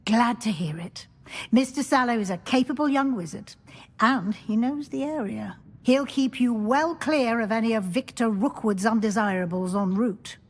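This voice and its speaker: Rich: A brisk, stern older professor of magic. She hands out orders with dry wit. Her voice sits a touch high for a woman. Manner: crisp, wry, authoritative. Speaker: deputy headmistress.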